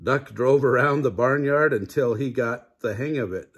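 An older man, a grandfather, reading a children's picture book aloud in a playful, expressive way. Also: medium pitch, normal speed, husky. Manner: playful, chuckles at the story. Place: living room couch, indoors.

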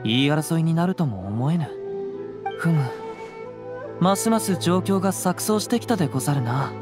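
A man's voice: fairly high pitch, expressive, moderate pace, over music, close to an everyday voice. A man playing a courteous, thoughtful young samurai in a video game, speaking with composed, old-fashioned formality. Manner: composed, archaic samurai phrasing.

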